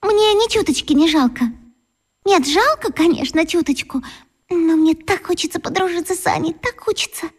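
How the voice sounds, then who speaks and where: Cast: a dreamy young schoolgirl in a radio play, very high, earnest and wistful. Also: normal speed. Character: shy, dreamy little schoolgirl longing for a friend.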